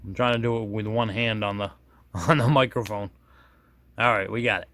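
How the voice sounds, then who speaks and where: A man's voice: lowish voice, fairly even intonation, normal speed, fairly bright tone, no room sound. A man giving a casual, unscripted hands-on product demonstration, folksy and self-deprecating, often rambling and correcting himself as he goes. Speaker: product reviewer demonstrating a radio.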